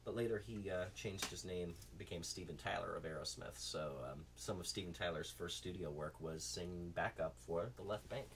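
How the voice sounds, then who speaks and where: A man hosting his own acoustic song channel, talking in a relaxed, rambling way with frequent ums. He is congested and phlegmy, and his voice is nearly gone. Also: low-pitched, normal speed, few pauses, noisy background. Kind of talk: casual spoken aside after a song.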